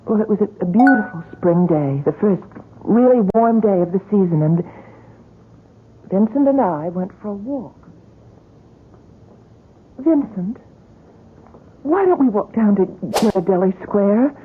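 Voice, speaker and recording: An actress playing a concerned, gentle young woman in an old-time radio mystery, earnest and hesitant in her dramatic delivery. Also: mid-range voice, lively intonation, a little slow, long pauses, slight background noise, natural voice. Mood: reflective. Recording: muffled, lo-fi radio drama recording.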